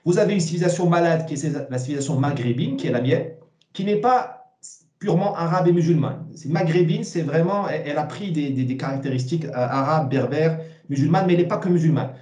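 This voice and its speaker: Man, forties, slightly high pitch, soft warm tone, emphatic halting interview answers, echoey room. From Morocco. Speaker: interview guest, essayist.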